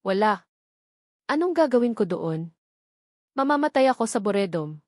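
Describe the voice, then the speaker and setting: A woman's voice: medium pitch, big pitch swings, normal speed, darkish tone, no room sound, lightly acted. Woman narrator as spoiled young Filipino heiress in romance audiobook, sarcastic and indignant, dry recording. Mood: dismayed.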